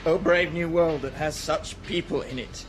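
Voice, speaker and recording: A man's voice: fairly high pitch, fairly even intonation, normal speed, natural voice, poor audio. An actor playing an earnest, troubled young outsider in a radio drama, questioning what he is shown. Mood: appalled. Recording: audible background noise.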